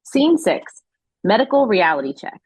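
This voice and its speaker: A woman's voice: medium pitch, sing-song, moderate pace, darkish tone, breathy edge, no room sound, rough recording. A woman narrator reading scripted scene descriptions for an audio play.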